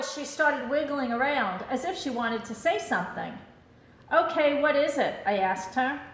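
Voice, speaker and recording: A woman reading a children's picture book aloud in an expressive storytelling style. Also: high voice, roomy sound, low-quality recording. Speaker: storybook reader.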